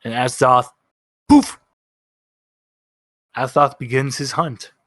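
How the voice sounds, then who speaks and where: A man's voice: mid-range voice, takes it slowly, a bit bright, dry recording. A man acting as game master, improvising casual narration for a tabletop roleplaying game.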